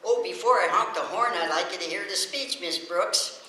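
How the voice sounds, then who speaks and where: A performer voicing an awkward, earnest American teenage schoolboy in old-time radio comedy, with halting delivery. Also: female voice, lowish voice, sharp tone. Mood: hopeful, awkward. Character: awkward, dim but hopeful teenage schoolboy. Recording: echoey, faintly noisy stage recording.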